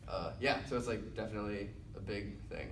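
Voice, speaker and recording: A man's voice: fairly low pitch, brisk, a bit bright. A young male student answering an interview casually, rambling with many fillers. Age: young adult. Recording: roomy echo, mic at a distance, faint hiss.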